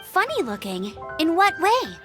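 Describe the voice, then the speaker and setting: A woman's voice: extremely high pitch, huge pitch swings, measured pace, heavily acted. A woman voicing an eager, confident teenage girl knight in a video game, with a perky, girlish, emphatic delivery. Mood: inquisitive. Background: game music.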